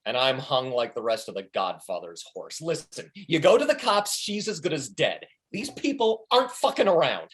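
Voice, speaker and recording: A woman's voice: low voice, sing-song, moderate pace, hardly any pauses, slight background noise. A woman playing Steve's part as a paranoid, sarcastic, profane male schemer in a comic script reading. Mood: sardonic, menacing. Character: Steve, brash, foul-mouthed, paranoid, grieving male schemer. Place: remote video call.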